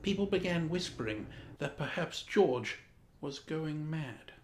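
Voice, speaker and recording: A man playing a pompous Georgian lord, reading a children's storybook with dramatic, deliberate diction. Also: a little high, expressive, slight background noise. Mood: arch, indignant. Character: pompous Georgian English lord reading a mad royal tale. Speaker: storyteller, host in the guise of a lord. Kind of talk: theatrical children's storybook narration. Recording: roomy echo, music underneath.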